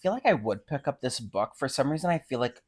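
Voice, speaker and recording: A man's voice: mid-range voice, lively intonation, fast, breathy edge. A man co-hosting a casual, unscripted book podcast, rambling with lots of "like" and speaking bluntly with some swearing. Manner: rambling, heavy use of "like". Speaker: podcast co-host discussing short fiction.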